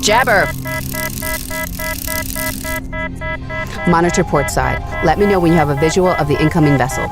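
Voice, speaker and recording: A woman's voice: deep voice, animated, fast, loud music behind the voice. A woman playing an earnest fledgling starship commander in a science fiction audio drama, moving between hesitance and firm command. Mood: tense, focused. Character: fledgling female starship commander, earnest, unsure yet determined.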